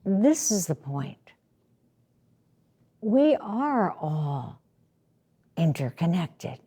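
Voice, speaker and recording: A woman teaching at a Zen service who speaks slowly and deliberately. Her voice is mellow and dark, and she lets her words settle in a calm, contemplative way. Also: on the low side, slightly rough. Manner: slow, measured, contemplative. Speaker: Zen teacher giving a dharma talk. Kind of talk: reading aloud and commenting in a sermon.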